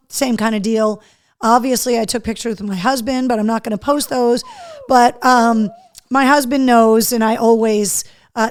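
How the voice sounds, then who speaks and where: A woman hosting a live show in casual chat, her voice hoarse, chatty and loose. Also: fairly high pitch. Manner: chatty, talks to her dog between remarks. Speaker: host.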